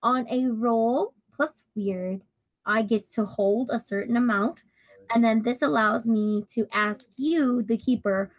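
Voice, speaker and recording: A woman player in a tabletop game with a very high voice. She talks casually, as someone explaining things to the others in the game. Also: measured pace, poor audio.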